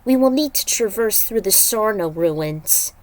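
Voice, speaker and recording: Woman reading as stern, slow-spoken warrior. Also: mid-range voice, animated, natural voice, low-quality recording. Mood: grave, resigned. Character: stern, weary male warrior, cautious team leader.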